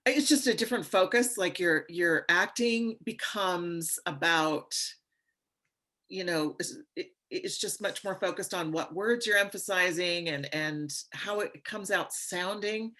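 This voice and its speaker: A woman chatting casually as an actress on a panel, in a halting, thoughtful way. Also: lowish voice, sharp tone. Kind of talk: casual chat.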